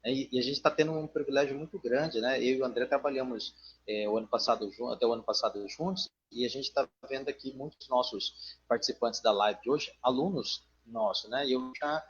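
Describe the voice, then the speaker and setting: A man's voice: medium pitch, a little fast, breathy edge. A Brazilian man, a teacher, talking casually and digressively in a live online discussion, often adding tag questions. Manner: digressive, frequent "né" tags, joking asides. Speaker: teacher co-hosting a live stream. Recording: dull online call audio.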